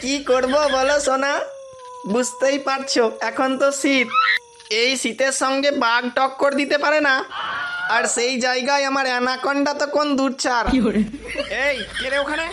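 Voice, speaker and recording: A man's voice: very high voice, little pitch movement, moderate pace, noisy background. A man voicing a hot-tempered, foul-mouthed village tea-stall owner in a cartoon, with a brash manner. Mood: sweet-talking, then panicked.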